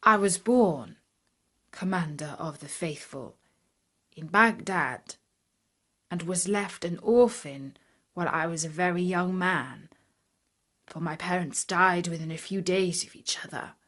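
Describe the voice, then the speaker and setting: A woman's voice: fairly low pitch, a little slow, long pauses, a bit bright. A woman voicing a humble, pleading blind beggar man in formal, beseeching storybook speech. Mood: recounting, solemn. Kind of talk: children's storybook reading.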